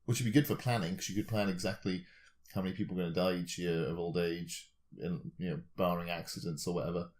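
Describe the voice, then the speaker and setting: A man with a low-pitched voice in informal podcast talk. He muses speculatively, rambling and restarting as he reasons, with a dry joking streak. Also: steady tone, fast, darkish tone. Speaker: podcast co-host. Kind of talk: casual chat, speculative musing.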